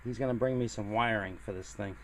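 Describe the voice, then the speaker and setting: A man's voice: fairly low pitch, brisk. Plainspoken man with noisy handheld sound. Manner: plainspoken, explanatory. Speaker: hobbyist presenter. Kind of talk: casual hands-on project narration. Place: home workshop and outdoor driveway. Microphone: handheld camera, close to speaker.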